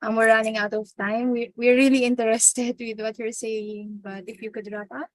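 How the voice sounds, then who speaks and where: Female moderator, slightly high voice, dry close sound; polite, apologetic, hesitant hosting of an online panel. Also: flat delivery, moderate pace, no echo. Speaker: session moderator. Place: video call.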